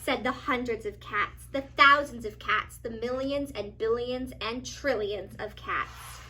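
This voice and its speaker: A woman narrating a children's storybook as a teacher, with expressive, dramatic emphasis. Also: fairly high pitch, measured pace, slight background noise, low-quality recording.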